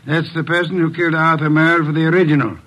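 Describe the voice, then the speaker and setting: An actor playing a shrewd, self-satisfied detective boss in a 1950s radio drama, speaking in a measured, articulate, dry manner. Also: male voice, a little high, flat delivery, fairly bright tone, lo-fi sound, natural voice. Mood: triumphant, dry.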